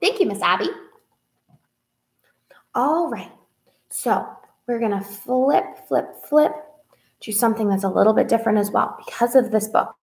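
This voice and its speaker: A young woman with a fairly high voice hosts a read-aloud for children. She talks directly to kids in an expressive, sing-song manner, like a librarian at story time. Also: normal speed, pauses often. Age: young adult. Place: small indoor room with whiteboard.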